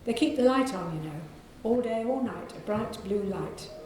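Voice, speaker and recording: A woman with a soft, croaky voice, an author reading aloud from her novel to an audience in a library. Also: fairly high pitch, expressive, very echoey, soft background music, rough recording. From England. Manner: measured reading with clearing of the throat.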